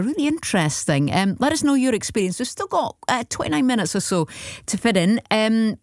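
A woman presenting a radio phone-in, chatting warmly with callers and reading out listeners' texts. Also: on the low side, no room sound, studio quality. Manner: friendly, laughs along, reads texts aloud.

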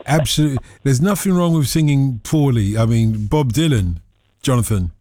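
A man's voice: medium pitch, mellow. A man hosting a radio show, joking and laughing easily in casual chat with callers. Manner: playful, joking, quick to laugh. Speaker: radio host.

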